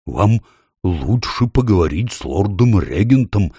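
A man's voice: fairly low pitch, big pitch swings, unhurried, warm tone, breathy edge, no room sound, clean studio sound, exaggerated voice. Man voicing a fussy hooting owl lady. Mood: excited, helpful. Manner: hooting interjections, earnest and fussy. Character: fussy hooting talking female owl courtier.

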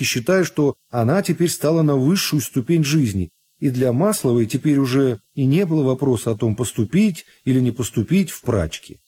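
A man reads literary narration for radio in a measured, deliberate storytelling manner. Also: on the high side, dark tone, slightly rough. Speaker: narrator of a radio reading of a classic novel.

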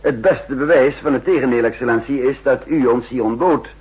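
An actor playing a shrewd, insistent counter-espionage chief in a 1960s radio drama, speaking formally and emphatically with a husky voice. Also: male voice, medium pitch, brisk, a little mellow. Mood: insistent, persuasive. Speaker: narrator and main character. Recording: muffled old 1960s radio recording.

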